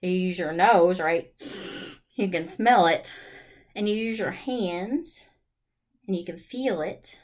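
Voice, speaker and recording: A woman's voice: fairly low pitch, slow, pauses often. A woman leading a children's storytime, reading and chatting in a singsong way and putting questions to her young listeners. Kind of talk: children's storytime read-aloud and chat.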